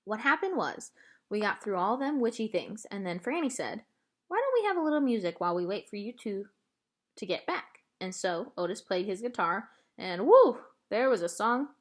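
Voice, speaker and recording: Kindly old storyteller played by a female reader, folksy and unhurried, with animated pitch, for a children's storybook. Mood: amused storytelling.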